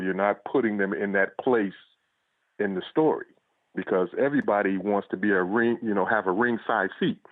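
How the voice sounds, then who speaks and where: Low-voiced man on a phone-like line. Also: dry recording. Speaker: author being interviewed. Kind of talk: conversational interview answers.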